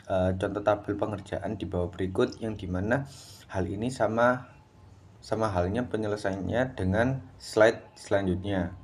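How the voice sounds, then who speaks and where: A man's voice: lowish voice, a little hiss or hum. A young adult man, explaining worked material as a student in a class presentation. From Indonesia.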